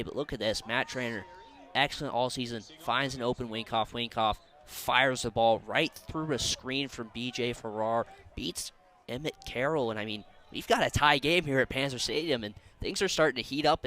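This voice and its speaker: A man's voice: mid-range voice, a bit bright. A man giving live sports analysis as a color commentator.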